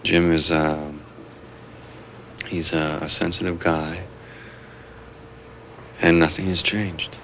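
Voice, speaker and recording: An actor playing a subdued male terrorist in a TV drama, flat and hesitant, on news-footage sound. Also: deep voice, takes it slowly, long pauses, slight background noise, heavily acted.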